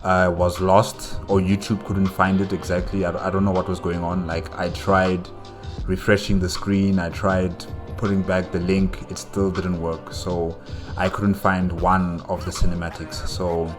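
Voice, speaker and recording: Deep-voiced man chatting casually, hesitant. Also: level tone, a little slow, music in the background. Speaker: reaction video host. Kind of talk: casual chat, reacting to game cutscenes.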